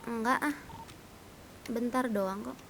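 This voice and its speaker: A woman's voice: on the high side, slow, fairly bright tone. A woman chatting casually and colloquially while playing an online roleplay game. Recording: clear background noise.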